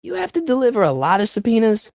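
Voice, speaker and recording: A man's voice: extremely high pitch, huge pitch swings, vocal fry, a little mellow, no room sound, clean studio sound, full character voice. A young man with an American accent voicing an earnest, anxious teenage boy in a video game, eager to persuade and often hesitant. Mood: determined. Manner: hesitant, stammering, eager, fast-talking persuader. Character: earnest, anxious teenage boy hero of a time-travel adventure. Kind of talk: video game character dialogue.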